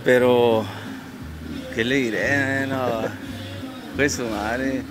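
A man's voice: medium pitch, fairly even intonation, extremely slow, over music. A man in his thirties from northern Mexico, a rapper talking casually and slangily into a handheld mic outdoors, often tagging "¿verdad?". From Ojinaga, Mexico. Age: 30s. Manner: laid-back, slangy, keeps adding "¿verdad?". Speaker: rapper guest. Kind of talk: casual interview talk. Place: outdoors on a park bench by a street.

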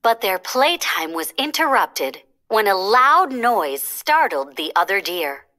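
A woman narrates a children's storybook in a bright, clear-cut storytelling manner. She takes her time, and her pitch moves in lively, expressive swings as she reads aloud. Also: slow.

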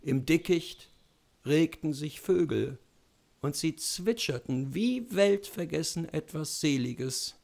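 A man in his thirties reading a classic story aloud at a measured, unhurried pace in a room at home. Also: a little high, animated, gravelly, darkish tone. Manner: slow, measured narration. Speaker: reader. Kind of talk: literary reading.

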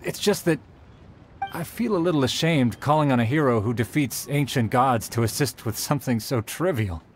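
An actor playing an earnest, dutiful young soldier in a fantasy video game, speaking formally and courteously in measured phrases. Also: male voice, medium pitch, lively intonation, a little hiss or hum, lightly acted. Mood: respectful. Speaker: game character giving quest briefings. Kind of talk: scripted video game dialogue.

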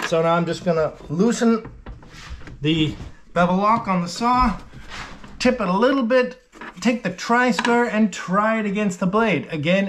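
A man's voice: fairly high pitch, slight background noise. A middle-aged man working as a shipwright, thinking aloud as he methodically explains a woodworking job in his shop. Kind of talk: workshop how-to narration. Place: wooden-walled boat shop, indoors.

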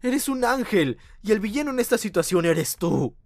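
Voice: medium pitch, sing-song, moderate pace, vocal fry, a little mellow, no echo. An actor voicing a fiercely protective young big brother hero in a cartoon game fandub. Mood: firm, earnest. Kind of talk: fandub character acting.

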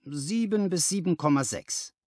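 A man's voice: fairly high pitch, a little slow, a little mellow, dry recording, pitched above the performer's own voice. A man voicing a modest, polite young clerk with an earnest manner in an audiobook. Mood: eager, modest. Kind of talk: dramatized audiobook dialogue.

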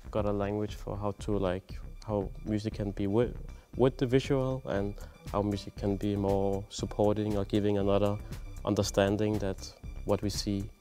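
A young man with a low, warm voice talks slowly and hesitantly, full of fillers. He sits on a dark theatre stage with faint music underneath. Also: little pitch movement. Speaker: physical comedian. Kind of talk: casual interview.

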